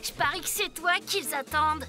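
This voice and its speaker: A woman's voice: sits high, moderate pace, over music, natural voice. A woman voicing a cheeky, boastful, chatty child sidekick in a cartoon. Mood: enthusiastic. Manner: chatty, slangy. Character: cheeky boastful enthusiastic child, the hero's best pal. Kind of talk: cartoon voice acting.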